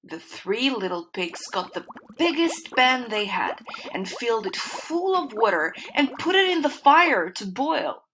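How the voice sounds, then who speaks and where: A woman narrating a children's fairytale in an expressive storytelling manner. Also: on the high side, a little slow, few pauses, background noise.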